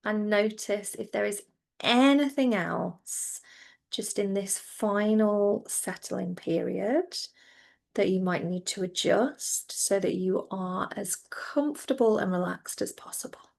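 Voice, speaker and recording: A woman teaching yoga nidra, guiding listeners into relaxation in a gentle, reassuring, informal way. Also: medium pitch, slow, vocal fry. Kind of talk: guided relaxation instruction.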